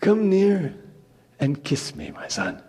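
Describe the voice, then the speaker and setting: A man playing a solemn old blind father who gives slow, weighty blessings in a dramatic scripture reading. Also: a little high, huge pitch swings, mellow, breathy edge, exaggerated voice. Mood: searching, tender. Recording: reverberant church hall.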